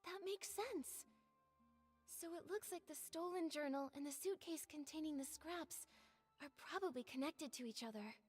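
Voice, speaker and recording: Young game heroine voiced by a woman: high, gentle, earnest, thoughtful investigator. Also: moderate pace, faint music behind, natural voice. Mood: pensive, earnest. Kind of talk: video game voice acting.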